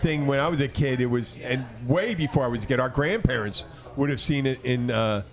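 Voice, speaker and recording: A man's voice: mid-range voice, a little fast. A man hosting a podcast in casual banter, rambling along in a teasing, argumentative way. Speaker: podcast host.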